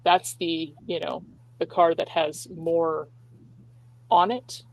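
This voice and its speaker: A woman game master narrating a tabletop roleplaying game in a halting, informal, explanatory way. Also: low-pitched, steady tone, darkish tone, poor audio.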